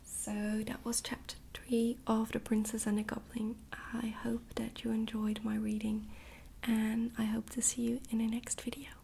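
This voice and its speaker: A woman reading a story aloud in a soft, near-whispered ASMR narration. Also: a little high, monotone, normal speed, gravelly, a little hiss or hum. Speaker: ASMR reader.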